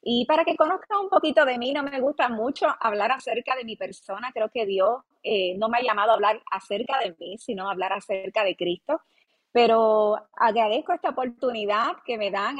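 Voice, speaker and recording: A Puerto Rican woman in her late thirties talks as a guest pastor on a podcast. Her voice is husky and breathy, and she speaks earnestly and humbly, often pausing on "eh" as she gathers her thoughts. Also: mid-range voice. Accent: Puerto Rican Spanish. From Puerto Rico. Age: 30s. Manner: humble, earnest, with many "eh" fillers. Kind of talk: podcast interview.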